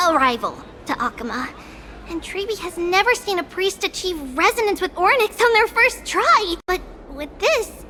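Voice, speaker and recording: Tiny, very high-pitched little girl priest. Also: noisy background. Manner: bubbly, exclamatory, speaks of herself as "we". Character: tiny cheerful childlike girl high priest, helpful and proud. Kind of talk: video game voice acting. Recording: music behind the voice.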